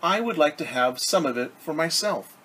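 A man voicing a determined, earnest young farm wife from a storybook, with expressive plain speech. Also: on the high side, normal speed, poor audio. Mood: hopeful asking. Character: determined young farm wife wanting her own land. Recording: faint background room noise.

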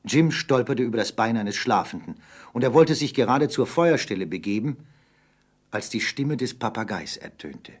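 A man's voice: mid-range voice, animated, normal speed. A man narrating an adventure radio play in a scripted storytelling style.

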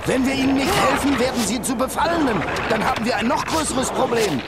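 High-pitched urgent warrior leader. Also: male voice, quick pace. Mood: alarmed, urgent. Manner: forceful, projected, commanding. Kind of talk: video game voice acting. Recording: loud game battle noise over voice.

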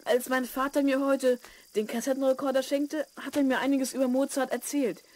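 A woman playing a curious, excitable twelve-year-old boy in a children's audio drama, wide-eyed and eager. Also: sits high, moderate pace, close to an everyday voice. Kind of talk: 1970s German children's audio drama acting.

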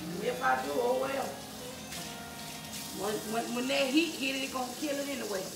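A woman's voice: sits high, steady tone, normal speed, gravelly. A woman, chatting casually with viewers in her home kitchen, filmed by a camera on the counter. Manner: chatty, folksy, talks to viewers as y'all. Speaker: cooking vlogger. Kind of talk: casual cooking vlog talk to viewers. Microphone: camera on the counter, moved around. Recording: echoey kitchen with background music.

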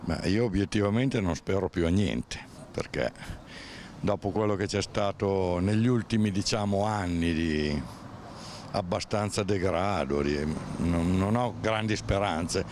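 A man's voice: low-pitched, unhurried, a little mellow. A man, answering a street interview in a weary, skeptical, hesitant way. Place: sunny street outdoors. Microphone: handheld interviewer mic. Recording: street noise behind.

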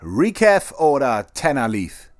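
A man's voice: mid-range voice, very animated, slow pace, no room sound. A man playing a peppy, jovial propaganda host of a military morning radio show, with showy, chummy presenter patter. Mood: chatty, upbeat. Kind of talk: radio show moderation in audio drama.

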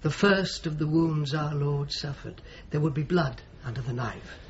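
An actor playing a thoughtful, courteous churchman in a radio drama, speaking in a measured way. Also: a little high, muffled recording, slight background noise, lightly acted. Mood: explanatory. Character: thoughtful, courteous Neapolitan churchman commissioning sacred art. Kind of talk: naturalistic radio drama acting.

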